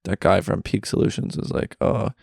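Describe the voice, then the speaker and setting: A relaxed young man in easy podcast banter, his voice sitting a bit low with a gravelly edge. The sound is dry and close, recorded in a basement. His talk rambles loosely, packed with fillers. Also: normal speed, no echo. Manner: laid-back, frequent "like" and "yeah" fillers. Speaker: podcast co-host. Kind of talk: casual chat.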